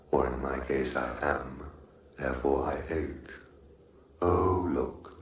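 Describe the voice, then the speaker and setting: An actor playing a gloomy, depressed robot in a radio comedy, droning with hollow, self-pitying deadpan. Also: male voice, extremely low pitch, lo-fi sound, a little hiss or hum. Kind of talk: radio comedy drama acting.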